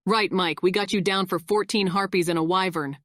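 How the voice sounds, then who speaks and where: A woman's voice: on the low side, fairly even intonation, a little fast, fairly bright tone, dry recording, clean studio sound, natural voice. A woman playing a no-nonsense military intelligence officer in an audiobook, matter-of-fact and authoritative. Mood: businesslike. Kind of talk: audiobook character acting.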